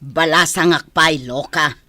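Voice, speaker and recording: A woman's voice: low-pitched, a little slow, sharp tone, no room sound. A woman with an Ilocano accent playing a bossy, gossipy senior kitchen maid in a village comedy radio drama. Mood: gossipy, touchy.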